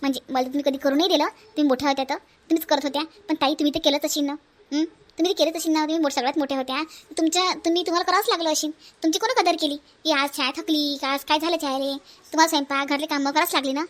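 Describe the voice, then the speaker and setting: Outspoken, sarcastic younger sister-in-law for a family cartoon, played by a woman: high, rapid, emphatic, Varhadi Marathi accent. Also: fairly even intonation, close to an everyday voice. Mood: sarcastic, indignant. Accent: Varhadi Marathi from Vidarbha. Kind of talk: homely family cartoon voice acting.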